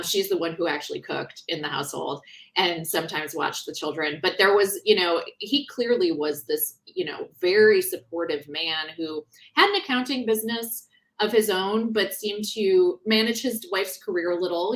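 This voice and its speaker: A woman author being interviewed in a casual book discussion, talking in an animated, conversational way and often breaking off mid-thought. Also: fairly low pitch, moderate pace.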